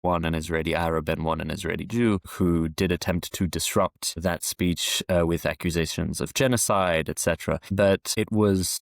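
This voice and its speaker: A man born in France, a historian answering interview questions at a measured pace, often restarting phrases with fillers. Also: sits low, fairly even intonation, gravelly, no room sound, clean studio sound. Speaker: podcast guest, historian. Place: London, UK.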